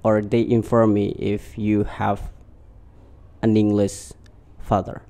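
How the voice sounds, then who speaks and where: A man's voice: fairly low pitch, monotone, slow pace, warm tone. A man with an Indonesian accent hosting a podcast and casually interviewing a guest. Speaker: podcast host.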